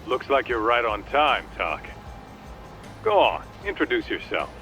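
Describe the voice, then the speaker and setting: An actor with an American accent playing a brash, bossy sidekick in a video game, blunt and streetwise. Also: male voice, medium pitch, wildly swooping pitch, a little slow, gravelly, sharp tone, soft background music, heavily acted.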